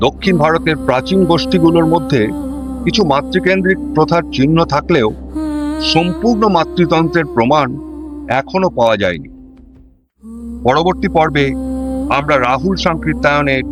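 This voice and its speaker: A man's voice: fairly high pitch, lively intonation, normal speed, pauses often. A man narrating a scripted, explanatory closing commentary. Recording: music under the voice.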